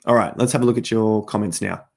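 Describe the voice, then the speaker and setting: A middle-aged man talks viewers through price charts on a live stream, hammering points home by repeating them. His voice is low and gravelly, and it is going hoarse as he keeps clearing his throat. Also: quick pace, darkish tone. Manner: repetitive, emphatic, talking through charts. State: losing his voice, coughing. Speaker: crypto trading livestream host.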